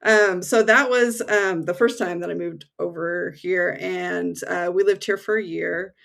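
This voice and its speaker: A woman's voice: on the low side, unhurried, gravelly. A woman from the United States, in her thirties, talking casually as a podcast guest over a remote call, with many fillers and light laughs. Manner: relaxed, frequent fillers and soft laughs. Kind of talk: casual conversation. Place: home in England.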